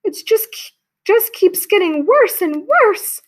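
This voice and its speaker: A woman doing a frantic, high-pitched mother. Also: big pitch swings, takes it slowly, exaggerated voice. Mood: distraught. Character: distraught mother at wits' end.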